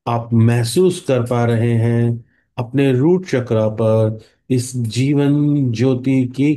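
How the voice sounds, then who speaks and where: Very slow, mellow Indian man guiding meditation. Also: medium pitch. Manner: slow, hushed calming delivery with long pauses. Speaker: meditation guide. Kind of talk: guided meditation.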